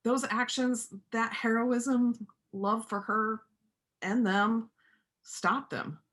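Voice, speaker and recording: Woman playing a bright-voiced, principled country vet. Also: a little high, fairly even intonation, drawn-out words. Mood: persuasive. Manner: earnest, persuasive, plain-spoken. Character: principled widowed country vet, gentle but firm pacifist. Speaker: amateur church play performer. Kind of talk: reader's theater performance.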